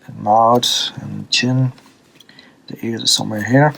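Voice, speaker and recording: A man from Belgium with a Dutch accent, teaching a drawing lesson off the cuff, pausing often with fillers and restarts while he draws. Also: mid-range voice, steady tone, gravelly, fairly bright tone, slightly rough. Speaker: art teacher. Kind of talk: unscripted drawing tutorial.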